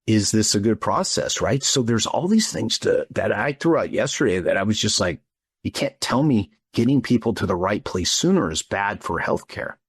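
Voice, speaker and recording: A man in his fifties with a fairly low, husky voice, close and dry. He talks in a blunt, informal way as a guest giving opinions, often tagging his points with a questioning check. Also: lively intonation, moderate pace, no echo. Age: 50s. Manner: blunt, informal, punctuates points with "right?" and "dude". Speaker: interview guest, front desk trainer. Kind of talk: casual, animated opinion talk.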